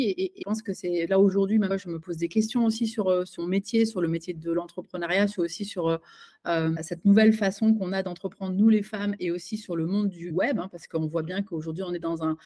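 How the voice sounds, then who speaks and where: A woman's voice: lowish voice, warm tone. A woman giving an interview as a coach and author, talking in a hesitant way with many fillers and restarts. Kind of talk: casual conversational interview.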